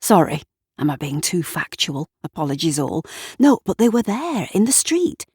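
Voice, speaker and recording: A woman's voice: deep voice, sing-song, moderate pace, a little mellow, breathy edge, no room sound, studio quality, full character voice. A woman voicing a gossipy man in a story, with a conspiratorial, sardonic manner. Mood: sarcastic, defensive. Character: gossipy, wry man in the pub.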